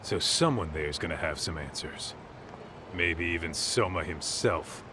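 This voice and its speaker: A man voicing a tough, easygoing ex-gangster sidekick in a video game, gruff, gravelly and casually streetwise. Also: measured pace, background noise. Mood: ready, steady. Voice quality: low, gravelly. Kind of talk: video game cutscene acting.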